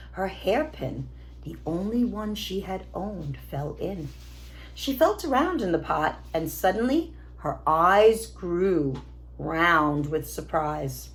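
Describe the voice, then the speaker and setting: Animated, unhurried woman storyteller. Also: on the low side, poor audio. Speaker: teacher reading to pupils. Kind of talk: expressive children's storybook narration.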